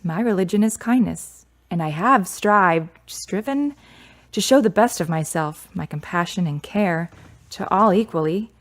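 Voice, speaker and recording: An actress playing a kind, reserved grieving daughter in a radio drama, speaking in a measured, earnest way. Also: female voice, lowish voice, natural voice. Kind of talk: serial radio drama acting.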